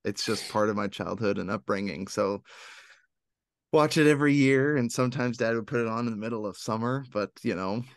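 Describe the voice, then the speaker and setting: A man's voice: on the low side, fairly quick, no room sound, clean studio sound. A young man hosting a podcast in a casual, joking, informal conversational style. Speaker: podcast host. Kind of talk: casual chat.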